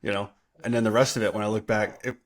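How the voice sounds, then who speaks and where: A man's voice: on the low side, fairly even intonation, quick pace. A man in his forties hosting an interview in a friendly, conversational way over a video call. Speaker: podcast host. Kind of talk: casual interview.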